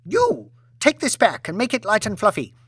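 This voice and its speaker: A man's voice: high voice, huge pitch swings, moderate pace, gravelly, fairly bright tone, slightly rough, no echo, clean studio sound, pitched above the performer's own voice, exaggerated voice. A man voicing an imperious, histrionic aristocratic lady with a petulant, tantrum-throwing manner in an audiobook. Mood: indignant, demanding. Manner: petulant, sobbing self-pity turning to furious outrage.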